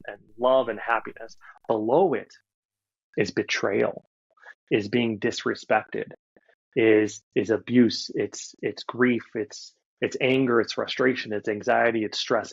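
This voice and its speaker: A man giving live mindset coaching, speaking emphatically and instructively straight to his listener, with a gravelly, slightly husky voice. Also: mid-range voice, lo-fi sound. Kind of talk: live one-to-one coaching talk.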